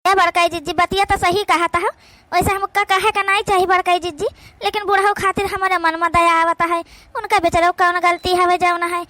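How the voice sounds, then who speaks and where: A very high, sharp-toned village daughter-in-law in an amateur cartoon, performed by a woman, with an Awadhi accent. She frets plaintively and soft-heartedly, recorded close and dry. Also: little pitch movement, moderate pace, no echo. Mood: worried, gossiping.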